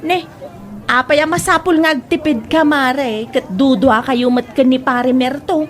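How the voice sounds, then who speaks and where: A woman's voice: high-pitched, fairly even intonation, a little slow. A woman with an Ilocano accent playing a nosy, exclaiming village wife and mother in a comedy drama. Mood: chatty.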